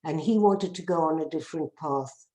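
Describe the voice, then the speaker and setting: An older woman from South Africa, with a South African accent, giving an earnest personal testimony to an audience, unhurried and pausing on fillers. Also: low voice, mellow, echoey room. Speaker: speaker.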